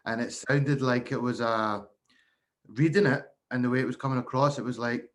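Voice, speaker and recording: A man hosting a podcast, with a mid-pitched voice. He puts his questions quickly and conversationally, searching for his guest's meaning. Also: steady tone. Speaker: podcast host. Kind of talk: conversational interviewing.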